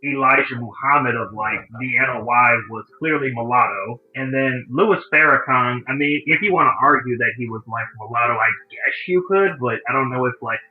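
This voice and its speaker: A man in a casual group chat who talks haltingly, with many uhs and ums. His voice is at a middle pitch. His audio comes through dull and muffled, like a lo-fi line. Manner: hesitant, full of uhs and ums. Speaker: live stream panelist.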